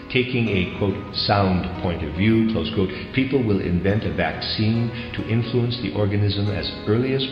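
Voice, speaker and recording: A man reading a lecture text aloud in a solemn, deliberate manner. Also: deep voice. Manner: deliberate, spells out quotation marks. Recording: dull, echoey hall reverb, music behind.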